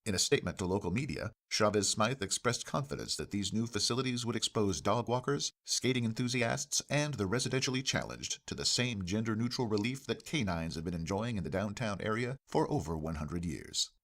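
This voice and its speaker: A man playing an earnest local radio news anchor in a parody newscast, formal, measured and politely persistent. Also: fairly low pitch, lively intonation, a bit bright. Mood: formal, composed.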